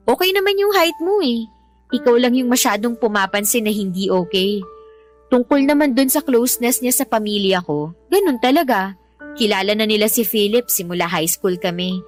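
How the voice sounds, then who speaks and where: A woman's voice: medium pitch, expressive, moderate pace, soft background music, natural voice. An actress playing an affectionate, exasperated young Filipino woman in a radio drama, teasing and emphatic as she talks to her partner. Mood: reassuring, exasperated.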